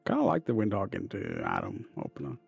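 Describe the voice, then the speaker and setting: Norwegian guy co-casting esports, low gravelly husky voice, kinda hesitant, dry jokes, light music behind. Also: wildly swooping pitch, fast talker, dull audio. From Norway. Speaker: esports co-caster. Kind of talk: casual esports commentary.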